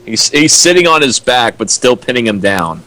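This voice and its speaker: A man's voice: mid-range voice, very animated, normal speed, sharp tone, poor audio. A young man reading character lines from a fanfic and mocking it with dry, pointed questions. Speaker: co-riffer. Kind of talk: comedic dramatic reading and riffing.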